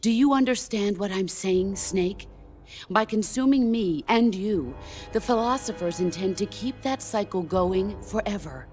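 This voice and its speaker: An actress playing a stoic, battle-hardened middle-aged soldier and mentor in a game cutscene, speaking gravely and deliberately. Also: female voice, mid-range voice, expressive, darkish tone, natural voice. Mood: solemn, pained. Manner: measured, deliberate, grave delivery. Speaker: video game character. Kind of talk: dramatic cutscene monologue. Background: orchestral music.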